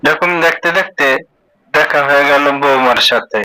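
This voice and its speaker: A man's voice: on the high side, moderate pace, pushed and bright, rough recording. A man narrating a cartoon story as a storyteller.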